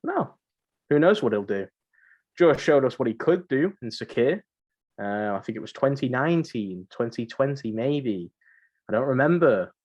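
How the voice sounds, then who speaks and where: A man's voice: mid-range voice, big pitch swings, moderate pace, a little mellow, breathy edge, highs cut off. A man hosting a casual podcast chat, somewhat hesitant and often repeating his phrases. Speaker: podcast host.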